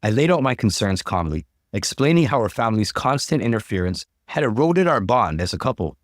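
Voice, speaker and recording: A man reading a scripted relationship story aloud in an expressive, dramatic narrating style. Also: on the low side, a little fast, dry recording, studio quality. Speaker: narrator reading online stories.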